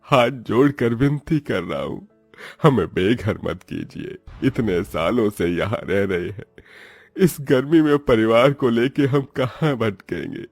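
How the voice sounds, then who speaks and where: A man's voice: medium pitch, lively intonation, unhurried, no room sound, faint music behind, pitched above the performer's own voice. A man voicing a poor, helpless old labourer in a cartoon story, resigned and imploring.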